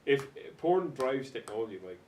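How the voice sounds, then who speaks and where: A man's voice: sing-song, moderate pace, a crowd in the background. A young man chatting casually on a podcast panel. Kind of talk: casual group chat. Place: echoey room. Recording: roomy echo, faint noise and rumble.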